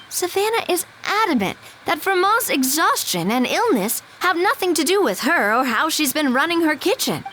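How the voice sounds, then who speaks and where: Brief: a principled young game heroine, high lively voice, firm and polite. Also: female voice, a little hiss or hum. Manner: earnest, firm but polite. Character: earnest young woman mediator standing up for a sick worker.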